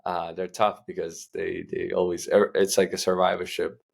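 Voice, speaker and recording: Young male guest, from Uzbekistan, deep and gravelly, relaxed rambling answers. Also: moderate pace. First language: Russian. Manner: loose, filler-heavy, many restarts. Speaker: guest entrepreneur. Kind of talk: casual interview answers.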